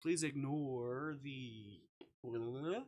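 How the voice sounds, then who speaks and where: A man live-streaming a visual novel, reacting casually in an animated, giggly way as he comments and talks to chat. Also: mid-range voice, slow.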